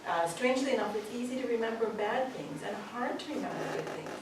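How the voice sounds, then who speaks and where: A woman's voice: fairly high pitch, expressive, fast talker. Canadian woman speaking in an echoey hall. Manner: warm, joking, with frequent ums. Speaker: parent giving a speech. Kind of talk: graduation speech. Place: school hall with pupils. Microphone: distant camera. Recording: echoey hall from far off, room noise.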